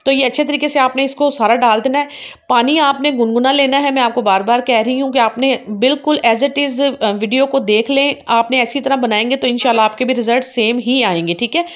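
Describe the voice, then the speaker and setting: A woman's voice: a little high, a little fast. A woman hosting a home cooking channel, patiently explaining each step and often checking in with viewers. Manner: patient, repeats "theek hai" to check in with viewers. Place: home kitchen.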